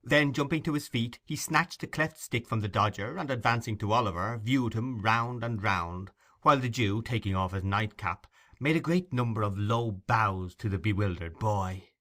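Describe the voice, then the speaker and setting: A man's voice: on the low side, normal speed, hardly any pauses, a bit bright. A man narrating classic fiction from a script as an audiobook reader.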